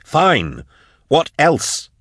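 Exasperated, high-strung, sarcastic scholar, pitched way up. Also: male voice, huge pitch swings, drawn-out words, creaky, no room sound, clean studio sound, heavily acted. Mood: sarcastic, pressing. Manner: yelling, sarcastic, challenging. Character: outspoken, exasperated, sarcastic male tech scholar, hotly indignant.

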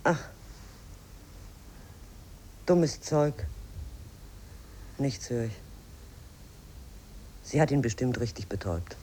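A callous bourgeois wife in a 1950s radio play. She is brisk and dismissive, speaking over the faint noise of an old recording. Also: a bass voice, animated, warm tone, heavily acted. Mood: dismissive, soothing.

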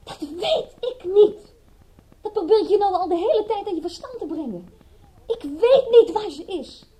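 Radio play role: defiant, agitated young woman, high mellow voice, swinging widely from emphatic to breathy. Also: expressive, normal speed, poor audio. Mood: stubborn denial. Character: disbelieving, agitated young woman under suspicion, stubbornly defiant. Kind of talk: radio drama acting.

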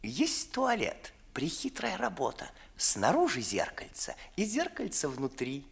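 Вкрадчивого секретаря с сипловатым певучим голосом. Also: male voice, on the high side, huge pitch swings, measured pace, gravelly, breathy edge, exaggerated voice. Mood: wheedling, amorous. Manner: soft-spoken, ingratiating, mincing. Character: Molchalin, obsequious, sly young secretary. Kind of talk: 1950s theatrical radio drama in verse.

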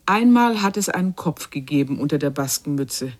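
An actress playing a grave, unsparing narrator in a literary radio drama, speaking in measured, incantatory short phrases. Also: female voice, sits low, animated, darkish tone. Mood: grave, melancholy. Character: female narrator of a child's inner torment, grave, unsparing.

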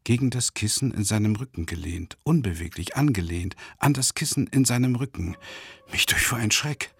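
Tormented broke lecturer guy narrating a radio play, low husky voice, broods intensely. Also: animated, normal speed, no echo, exaggerated voice. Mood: brooding, tense. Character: tormented, self-doubting, impoverished male travelling lecturer. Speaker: first-person narrator. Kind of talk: dramatic radio play monologue.

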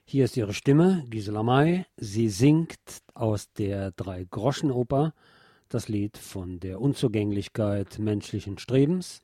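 A man's voice: lowish voice, animated, takes it slowly, warm tone, no room sound, clean studio sound. A man working as a radio host, reading out quotations and short commentary as he presents music.

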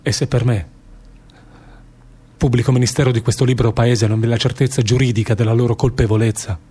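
Very husky, anguished man of faith acted. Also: medium pitch, fairly even intonation, a little fast, dark tone, lightly acted. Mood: anguished, praying. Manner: solemn, earnest, prayer-like. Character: anguished, devout male public prosecutor torn by doubt. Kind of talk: theatrical radio drama acting.

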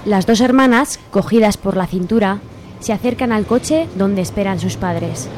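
A woman's voice: mid-range voice, moderate pace, darkish tone, a little hiss or hum. A woman giving scripted narration for a radio serial.

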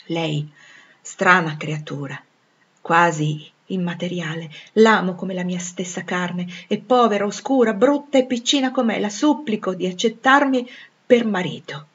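A woman voicing a passionate, tormented gentleman in love, commanding and imploring, in a dramatic audiobook. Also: on the low side, measured pace, breathy edge, dull audio. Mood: ardent declaration. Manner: urgent, imploring, emphatic. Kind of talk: dramatic audiobook character dialogue.

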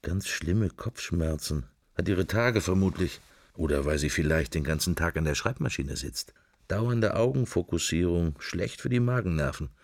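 An actor playing a brooding, furtive middle-aged man in a radio drama, murmuring his drifting, sensual thoughts in fragments with a gravelly, husky voice. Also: low-pitched, expressive, normal speed, dry recording, clean studio sound. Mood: musing. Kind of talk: radio drama interior monologue.

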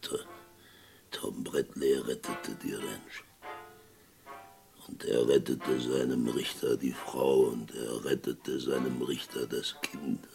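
An actor playing a fervent, gravelly friend of a condemned man in a German Western radio play, dramatic and given to repeating himself. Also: rumbling low, steady tone, a little mellow, faint music behind, heavily acted. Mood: haunted, grave. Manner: slow, halting, dramatic storytelling with repetitions.

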